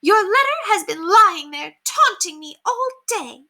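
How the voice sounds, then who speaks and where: A woman's voice: very high voice, lively intonation, a little slow, bright, forceful tone, voice pushed up, heavily acted. A woman voicing a haughty, melodramatic upper-class lady from a storybook, theatrical and gushing. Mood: icy, indignant. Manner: theatrical, gushing, indignant exclamations. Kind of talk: storybook character voice read aloud.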